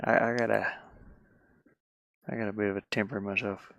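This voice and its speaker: A man with a gravelly, creaky voice in a close, dry space. He chats informally on a livestream, rambling along and muttering to himself as he works. His pitch sits on the low side. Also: flat delivery, moderate pace, fairly bright tone, no echo, highs cut off. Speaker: livestreamer drawing on an art tablet. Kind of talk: casual rambling chat while drawing. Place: inside a metal van lined with insulation.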